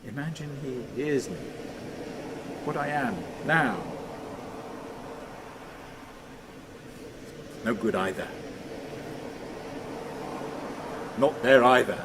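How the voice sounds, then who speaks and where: An actor playing a troubled, lonely man who tells himself stories in halting, repetitive fragments, in a radio play monologue. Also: mid-range voice, expressive, noisy background, lightly acted. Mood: lonely, weary.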